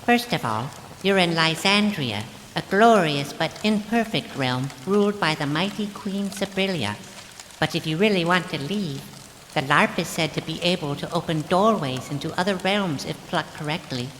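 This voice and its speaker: A woman's voice: lowish voice, expressive, normal speed, a bit bright. A woman playing a prim, earnest companion from a fantasy realm, with formal, old-fashioned phrasing in an audio drama. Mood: earnest urging. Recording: long reverb, faint rain noise.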